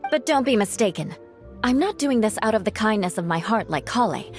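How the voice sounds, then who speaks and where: A woman's voice: mid-range voice, lively intonation, fairly quick, soft background music, lightly acted. Proud young woman guard, female actor: stern, clipped, mid-range voice for a game cutscene. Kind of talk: video game cutscene voice acting.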